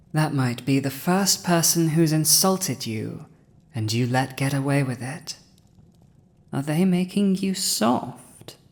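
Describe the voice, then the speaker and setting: A woman's voice: deep voice, heavily acted. A woman playing a proud villainous dragon who has seized a human throne, speaking formal, archaic lines in a scripted audio roleplay. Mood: pensive, puzzled. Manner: formal archaic diction, haughty, deliberate.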